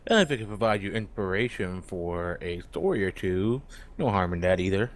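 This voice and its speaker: Low-voiced man chatting as a player. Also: animated, moderate pace. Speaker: roleplaying game player. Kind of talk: casual chat.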